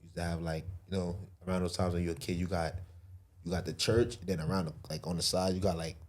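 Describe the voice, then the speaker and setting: A man's voice: low-pitched, steady tone, quick pace, slight background noise. A man, chatting casually as a podcast guest with loose street slang and lots of fillers. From Brooklyn, New York. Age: 20s. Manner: loose, filler-heavy street slang, says bro a lot. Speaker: guest entrepreneur.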